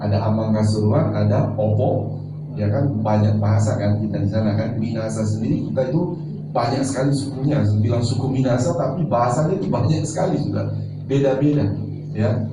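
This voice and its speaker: A man's voice: mid-range voice, brisk. Male pastor teaching in an echoey church. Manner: teaching, asks the congregation questions. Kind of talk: sermon. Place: large church hall. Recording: echoey church, far from mic, noisy.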